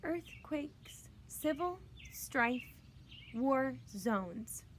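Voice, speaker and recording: Woman playing a high, meek little girl. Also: expressive, very slow, a little hiss or hum, heavily acted. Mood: careful. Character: meek, frank little girl, slow reader.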